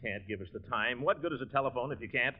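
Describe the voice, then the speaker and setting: An actor playing a pompous, easily exasperated middle-aged uncle in a 1940s radio sitcom, flustered and grumbling theatrically. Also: male voice, medium pitch, fast, natural voice. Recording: dull band-limited 1940s radio recording.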